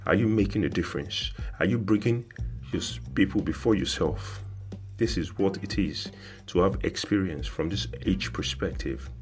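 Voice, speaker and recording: Deep-voiced man preaching over soft music. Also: normal speed. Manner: repetitive, preachy rhetorical questions. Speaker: motivational speaker. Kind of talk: motivational monologue.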